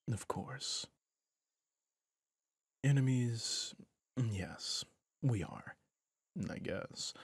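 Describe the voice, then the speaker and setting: Breathy, low-voiced weary villain, acted by a man. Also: dry recording, studio quality, exaggerated voice. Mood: calm, wistful. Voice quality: breathy, often dropping near a whisper. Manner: slow, soft, pensive, with deliberate pauses. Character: weary male villain, reflective, gentle toward his rival hero. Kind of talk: ASMR roleplay audio drama.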